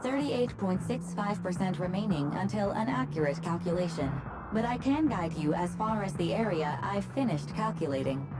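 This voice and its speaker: A woman acting as a female artificial intelligence from a video game, obedient and coolly precise. She speaks in measured, formal, computer-like phrases at a medium pitch. Loud music runs behind the voice. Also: animated, close to an everyday voice, rough recording. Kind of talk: video game story dialogue.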